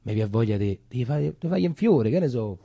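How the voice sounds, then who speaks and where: An actor playing a weary, chatty Roman coffee-shop keeper, rambling and repeating himself in a colloquial theatrical monologue with a Roman accent. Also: male voice, mid-range voice, expressive, fairly quick, creaky, dark tone, husky, no room sound. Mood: wistful, storytelling. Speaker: stage actor.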